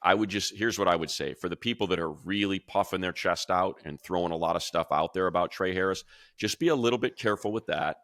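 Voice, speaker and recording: Deep-voiced man talking football. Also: fast, fairly bright tone, no echo, clean studio sound. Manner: conversational, opinionated, thinking aloud. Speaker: sports radio announcer and analyst. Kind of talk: casual unscripted football draft commentary.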